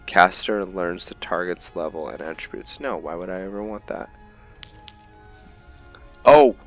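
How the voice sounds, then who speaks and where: A man narrating a roleplay game playthrough in a casual, halting way, stumbling over words, on a dull mic. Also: deep voice, very animated, gravelly, a bit bright, faint music behind. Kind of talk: casual gameplay commentary mixed with in-character journal reading.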